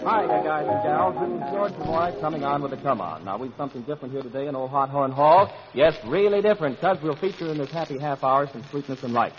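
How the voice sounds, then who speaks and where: A man's voice: fairly high pitch, fast, few pauses, over music. Reverberant 1940s radio announcer man. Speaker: show announcer. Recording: dull old radio recording, long hall reverb.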